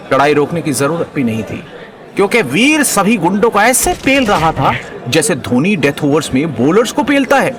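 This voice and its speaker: Animated high-pitched male story narrator. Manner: playful, teasing storyteller. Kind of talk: dramatic comic audio story narration.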